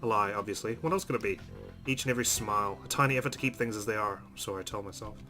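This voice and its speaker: A man's voice: fairly low pitch, racing. A man hosting a let's play, reading visual novel narration aloud expressively with casual asides. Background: game music.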